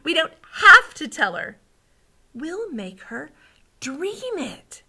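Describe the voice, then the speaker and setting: A woman voicing an indignant, excitable and determined young girl from a storybook. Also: sits high, unhurried, voice pushed up, heavily acted. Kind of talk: storybook character read aloud.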